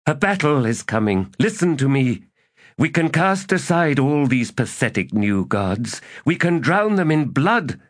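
A man's voice: fairly high pitch, big pitch swings, a little slow, voice pushed up. A man doing a scornful, vengeful one-eyed god. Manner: forceful, scornful, commanding. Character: vengeful one-eyed male elder god, bitter and ambitious. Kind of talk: audiobook character reading.

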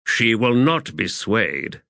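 A male narrator voicing a dignified prince who speaks in measured, formal phrases. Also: lowish voice, very animated, vocal fry, bright, forceful tone, dry recording, studio quality, full character voice.

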